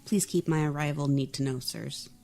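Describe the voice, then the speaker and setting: An actor playing a calm young male prince who speaks in a dry, measured way. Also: a little high, gravelly. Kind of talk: audio drama acting.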